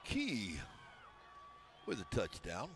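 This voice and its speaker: A man with a big, loud voice calling high school football play-by-play from a press box, mixing calls with folksy jokes. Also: wildly swooping pitch, drawn-out words, vocal fry, crowd noise. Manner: folksy, joking banter between calls. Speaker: play-by-play announcer. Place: press box at outdoor stadium.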